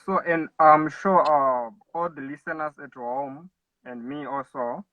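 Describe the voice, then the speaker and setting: A man hosting an interview, asking questions haltingly as he searches for words. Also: fairly high pitch, pushed and bright, dry recording. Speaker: podcast host. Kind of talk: casual interview. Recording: tinny phone line, distant and dry.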